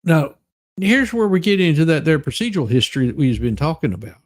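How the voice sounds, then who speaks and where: A man's voice: fairly high pitch, lively intonation, fast. A man giving a comedic dramatic reading of a legal document, theatrical and folksy, with mocking asides. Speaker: streamer performing a dramatic reading.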